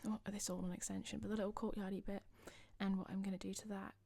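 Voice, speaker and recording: A young woman with a slightly husky voice, rambling casually over a game build video. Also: medium pitch, level tone, quick pace, warm tone, dry recording. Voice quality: slightly husky, losing her voice. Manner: rambling, chatty, self-deprecating. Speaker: gaming channel host.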